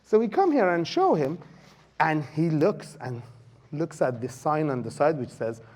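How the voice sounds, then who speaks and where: A man giving a lecture at a conference, telling a story with many fillers and pauses. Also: fairly high pitch, big pitch swings, a little mellow. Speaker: guest lecturer. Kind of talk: conference talk. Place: lecture hall.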